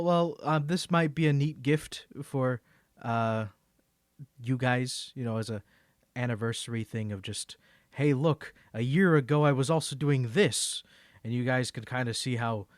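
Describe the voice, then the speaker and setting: A man's voice: medium pitch, no echo, studio quality. A man chatting casually in a rambling, hesitant, self-deprecating way, as a creator introducing his own audio. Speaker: ASMR creator and amateur singer.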